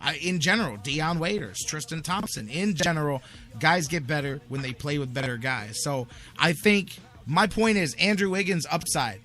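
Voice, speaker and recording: A male sports talk host with a bright, punchy voice pitched a little high. He talks in an emphatic, insistent way, driving opinions home by repeating them. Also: lively intonation, moderate pace.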